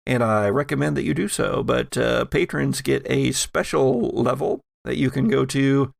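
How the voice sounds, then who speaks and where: Guy running a tabletop game podcast, slow measured delivery, bit of fry in the voice, really dry recording. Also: mid-range voice, dry recording. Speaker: game master and podcast host. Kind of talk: casual chat and narrated game recap.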